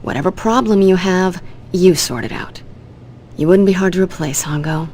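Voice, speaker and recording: A woman's voice: fairly low pitch, normal speed, darkish tone, a little hiss or hum, lightly acted. A woman playing a haughty, ruthless crime heiress in a game cutscene, imperious, cutting and contemptuous. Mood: contemptuous, cold. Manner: imperious, foul-mouthed, cutting. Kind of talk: dramatic video game cutscene acting. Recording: background music under the voice.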